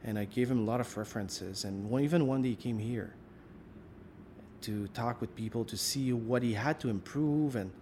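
A man's voice: medium pitch, moderate pace, dark tone, slight background noise. A man interviewing a guest in a casual chat, thinking aloud and filling his questions with "like". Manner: rambling, thinks aloud with many "like"s.

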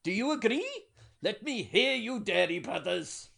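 A man playing a pompous Welsh trade union leader giving a grand, booming speech in a comedy sketch. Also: lively intonation, a little slow, gravelly, a bit bright, breathy edge, full character voice. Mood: grandiose. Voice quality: booming, grandiose rally oratory.

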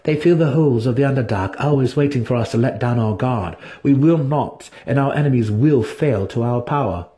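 Man as guarded, mellow young dark elf. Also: medium pitch, moderate pace, hardly any pauses, voice pushed up, natural voice. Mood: resigned. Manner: sober, wary, dry. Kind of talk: dramatic fantasy audiobook character reading.

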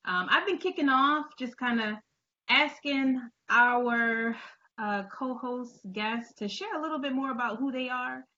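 A woman's voice: sits high, a little slow, bright, forceful tone, muffled recording. A woman hosting a conversational interview in an enthusiastic, encouraging manner. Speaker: show host.

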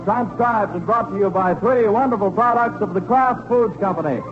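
A man announcing in formal, booming 1940s radio style, on a dull old recording. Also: normal speed, music in the background, lightly acted.

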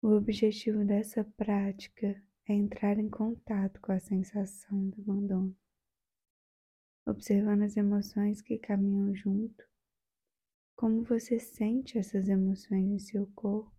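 Very mellow, slow Brazilian woman guiding meditation. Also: medium pitch, little pitch movement, slightly rough. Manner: soft, soothing, gentle delivery with long pauses. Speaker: meditation guide. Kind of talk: guided meditation.

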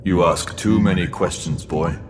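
A man's voice: low voice, normal speed, over music, lightly acted. A man playing a stern, cryptic mentor in a sci-fi audio drama, grave and admonishing. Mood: ominous, instructive. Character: stern, cryptic mentor figure guarding a teenage boy. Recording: long hall reverb, clear background noise.

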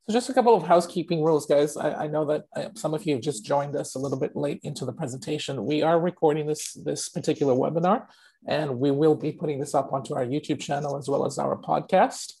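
A man hosting a webinar, hoarse as his voice recovers from a throat infection, talking directly to his audience in an upbeat way. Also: fairly high pitch, fast talker, warm tone, low-quality recording. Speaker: webinar host. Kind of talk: webinar presentation.

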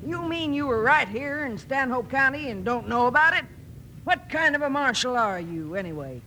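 An actress playing a bossy, fussing frontier aunt who scolds in a brisk, folksy way, in 1950s radio western acting. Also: female voice, sits high, lively intonation, heavy background noise. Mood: alarmed.

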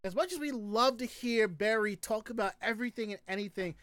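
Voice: medium pitch, fairly quick, fairly bright tone, dry recording. A host chatting casually and informally on a live show, talking in a chatty way. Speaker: podcast host.

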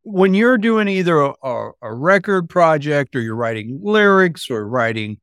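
A man's voice: fairly high pitch, sing-song, moderate pace, fairly bright tone, smooth, no echo, studio quality. A man hosting a casual interview, thinking aloud and rephrasing his questions as he goes. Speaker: interview host.

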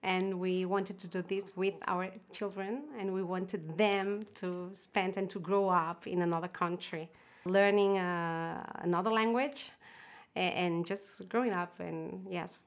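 A woman from Catalonia, Spain, speaking as an interview guest in a casual, hesitant way, with many fillers and soft trailing phrases. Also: fairly low pitch, fairly even intonation, unhurried. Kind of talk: casual conversation.

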